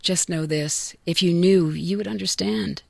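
Low, creaky-voiced secretive mother. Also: measured pace, no echo, studio quality, exaggerated voice. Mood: gentle, firm. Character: firm, secretive, loving mother of a young girl. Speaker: voice actor. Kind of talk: video game dialogue.

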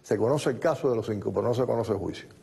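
A man in his fifties tells his story steadily as a guest in a television studio. His voice is mellow and a little husky, picked up by a lapel mic. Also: fairly low pitch, rapid-fire. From born in the United States, raised in Cuba. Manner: steady storytelling, recounting events in detail. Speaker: interview guest. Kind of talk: studio TV interview.

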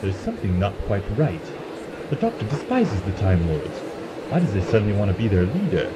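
A man's voice: fairly low pitch, very animated, brisk, very noisy. A man playing a wary, serious commander in a sci-fi audio drama, sober and understated. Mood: suspicious.

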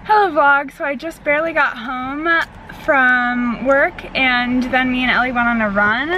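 A woman's voice: high voice, fairly even intonation, a little slow, loud music behind the voice, low-quality recording. A young American woman chatting casually to the camera in a car, in a rambling, chatty way. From Michigan, United States. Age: young adult. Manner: chatty, rambling, uses "like" often. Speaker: vlogger. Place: inside a car. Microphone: camera at arm's length or on dash.

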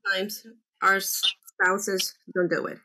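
A woman in her forties from El Salvador, speaking earnestly and haltingly with many restarts as a panel guest on a video call from home. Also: mid-range voice, flat delivery, pushed and bright. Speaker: panel guest, military spouse. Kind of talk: candid panel talk. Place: room at home, indoors.

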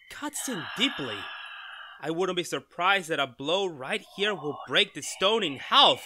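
A man playing an excitable, skeptical scientist in a retro radio drama, melodramatic and halting, increasingly astounded. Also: high-pitched, background noise. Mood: absorbed, curious.

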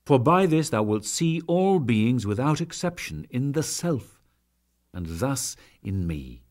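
A man narrates sacred verse as a dramatic reading, solemn and measured, with deliberate phrasing and frequent pauses. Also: mid-range voice, sing-song, darkish tone.

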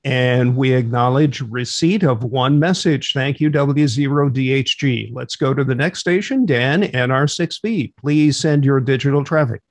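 A man's voice: mid-range voice, a little slow, hardly any pauses, no echo. Orderly middle-aged man running a radio net. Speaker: net control operator and host. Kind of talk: instructional radio net demonstration. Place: small home room, on a video call.

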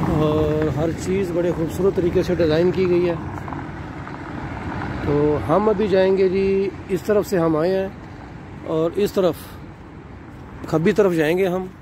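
A middle-aged man narrates a walking tour outdoors, calling out the sights to his viewers as he goes. He speaks into a handheld phone, and his voice sits a little high. Steady city traffic rumbles clearly behind him. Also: takes it slowly, long pauses. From Pakistan. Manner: addresses viewers as "nazreen", leisurely describing sights while walking. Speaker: travel vlogger. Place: city streets at night, outdoors. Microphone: handheld phone camera.

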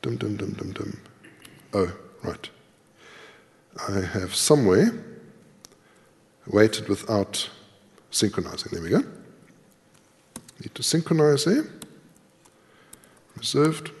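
A man's voice: on the low side, very animated, takes it slowly, vocal fry, pauses often. A middle-aged man giving a live coding lecture, explaining and thinking aloud as he types. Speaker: conference speaker. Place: large tiered lecture hall. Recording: echoey lecture hall with long reverb.

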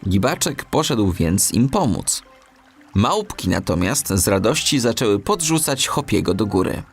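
A man narrating a children's audiobook in an expressive storytelling style. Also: lowish voice, unhurried, vocal fry, husky, soft background music.

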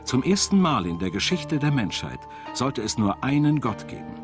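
Male narrator, standard German, mid pitch with lively intonation, measured scripted documentary read over music. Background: background music.

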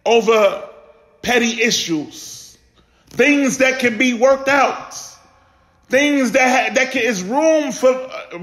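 High-pitched man preaching slowly and passionately. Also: bright, forceful tone. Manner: exhortatory, emphatic repetition and rhetorical questions. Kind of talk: impassioned sermon. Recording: echoey room.

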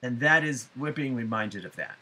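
A middle-aged man giving a spiritual forecast to viewers, speaking deliberately with emphatic phrasing and frequent pauses. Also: medium pitch, animated, sharp tone, slight background noise, rough recording. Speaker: astrology forecaster and psychic medium. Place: small room at home, indoors.

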